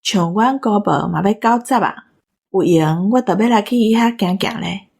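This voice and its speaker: A woman's voice: mid-range voice, moderate pace, gravelly, darkish tone, slightly rough. A woman with a Taiwanese accent chatting casually as a co-host. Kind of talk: casual conversational chat.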